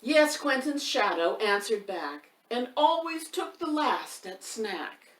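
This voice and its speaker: A woman's voice: fairly high pitch, very animated, takes it slowly, a bit bright. A woman hosting a storytime for children, speaking unhurriedly and directly to young listeners as she chats and reads a picture book expressively. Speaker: children's author and storytime host. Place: indoors by a fireplace, roomy sound. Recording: roomy, distant microphone with faint background noise.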